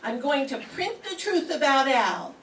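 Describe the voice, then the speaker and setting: Brief: older woman as a ditzy, earnest girl reporter; high and unhurried; far, echoey amateur radio-play recording. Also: very animated, a bit bright, heavily acted. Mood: dreamy. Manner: naive, earnest delivery of punchlines. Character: sweet scatterbrained young woman columnist. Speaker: student performer in radio-script class. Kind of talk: amateur old-time radio play reading. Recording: roomy classroom, far from mic, faint hiss.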